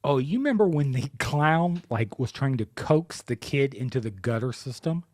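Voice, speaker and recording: A man in his thirties from Florida, co-hosting a casual comedy podcast with a baritone voice and playful, mock-serious banter. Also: expressive, normal speed, a little mellow, no echo, clean studio sound. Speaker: podcast co-host.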